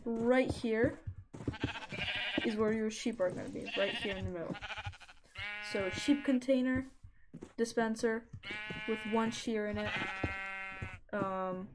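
A woman with a somewhat high-pitched voice talks through a game build in a relaxed, chatty tutorial manner. She chats with the animals on screen as she goes. Clear noise and game sounds sit under her voice. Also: slow pace, pauses often. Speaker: Minecraft let's play creator. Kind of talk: casual gameplay tutorial narration.

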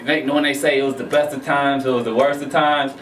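An American man in his thirties chatting casually as a guest, with a halting manner. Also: mid-range voice, flat delivery, a bit bright, recorded from a distance. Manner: halting, repeats "like". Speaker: guest, music artist. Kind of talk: casual chat. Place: small radio studio with foam panels. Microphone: studio microphone on boom arm. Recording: echoey room, off-mic, faint noise.

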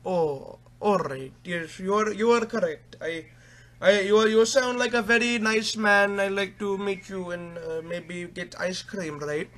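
A man's voice: high voice, measured pace, a bit bright, pitched below the performer's own voice. A young man putting on an Indian accent as a pestering, needy tech support caller in a prank call. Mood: apologetic. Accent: put-on Indian. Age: young adult. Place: small home bedroom, indoors.

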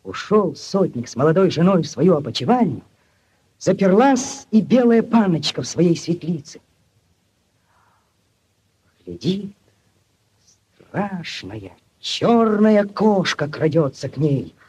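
Casting: ardent young village lad, theatrical storyteller for vintage radio play, high and very animated. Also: male voice, slow pace, pauses often, slightly rough, exaggerated voice. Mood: hushed suspense. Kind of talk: 1940s Soviet radio play acting. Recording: 1940s radio recording with music.

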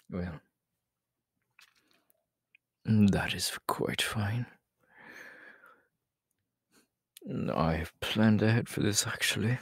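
Sly, menacing male villain acted by a man for ASMR roleplay: low-pitched, slow, teasing, breathy with whispers. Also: dry recording, clean studio sound, exaggerated voice. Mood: calm, sinister. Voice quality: breathy, often dropping into whispers. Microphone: close microphone, dry.

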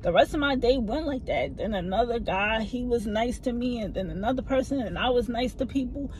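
Animated woman vlogging from her car. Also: fairly quick, slight background noise, poor audio. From family from the American South. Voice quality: low voice that people find hard to hear. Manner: animated, talks with her hands. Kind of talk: casual storytelling to camera. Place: parked car, inside. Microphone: phone camera mounted in front of her.

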